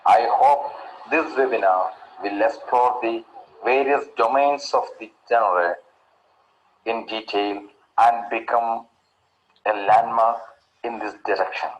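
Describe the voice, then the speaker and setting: A man delivers formal closing remarks in a ceremonious tone. He speaks slowly and deliberately, with faint noise behind him. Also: medium pitch, low-quality recording. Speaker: guest giving closing remarks. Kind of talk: formal address.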